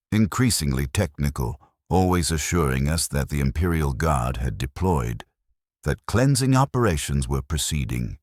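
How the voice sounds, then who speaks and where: Very deep, slow, solemn male narrator. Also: dry recording, clean studio sound. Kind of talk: scripted first-person sci-fi war story narration.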